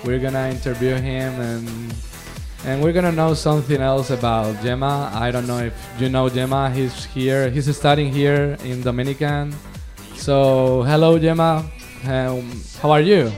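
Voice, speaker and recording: A man's voice: mid-range voice, fairly even intonation, measured pace, darkish tone, soft background music. A young man hosting a casual radio interview, introducing his guest and asking simple conversational questions. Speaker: radio show host, interviewer.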